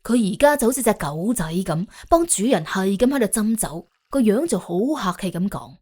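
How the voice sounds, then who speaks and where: A woman narrating an audiobook in colloquial Cantonese, telling the story in an expressive, wry way. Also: medium pitch, normal speed. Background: faint background music.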